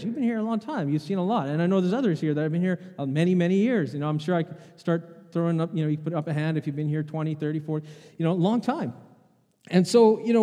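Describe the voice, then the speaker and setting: Male pastor, sermon, mellow high voice, conversational, long church reverb. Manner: conversational preaching, rhetorical questions to the congregation. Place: church sanctuary.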